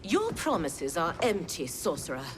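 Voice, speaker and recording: A woman's voice: lowish voice, wildly swooping pitch, measured pace, over music. A woman playing a wary, steely warrior guard in a video game, formal, assertive and skeptical. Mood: distrustful. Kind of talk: dramatic video game acting.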